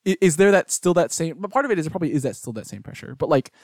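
A man's voice: a little high, very animated, very fast, a little mellow, no room sound, clean studio sound. A young man hosting a casual podcast, asking questions hesitantly with many restarts. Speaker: podcast host.

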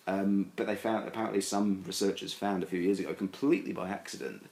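A man's voice: low voice, steady tone, fast, a little mellow, reverberant. A man talking casually as a podcast guest, hedging and rambling with many fillers and restarts. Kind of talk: casual discussion.

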